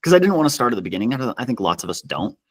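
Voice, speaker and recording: A man speaking casually as an interview guest, telling stories in an animated, self-deprecating way. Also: medium pitch, very fast. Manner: self-deprecating, chuckles as he talks. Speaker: podcast guest, customer success executive.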